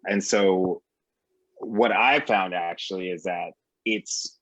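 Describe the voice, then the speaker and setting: A man with a husky voice, an actor explaining his craft on a discussion panel. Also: sits low, slow pace, a bit bright. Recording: roomy, slightly muffled call audio.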